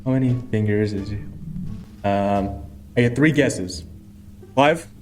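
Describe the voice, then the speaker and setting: A man's voice: fairly low pitch, slow, faint music behind. A man chatting casually as a player in a tabletop role-playing game.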